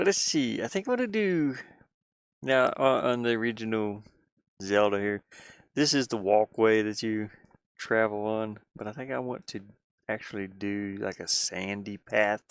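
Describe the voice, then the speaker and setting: A man chatting casually like a streamer, thinking aloud in a halting, rambling way. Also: fairly low pitch, smooth, no echo, clean studio sound. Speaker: streamer and hobby game designer. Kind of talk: casual rambling livestream chat.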